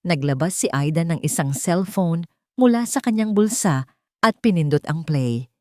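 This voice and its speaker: A woman narrating a scripted romance story in a slow, dramatic storytelling manner. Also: fairly low pitch, animated, warm tone, no room sound, clean studio sound.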